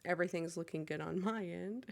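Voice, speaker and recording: A woman's voice: on the low side, brisk, creaky, darkish tone. A woman with a nasal, cold-stuffed voice, hosting a tabletop game livestream as game master and chatting casually with lots of swearing and laughs.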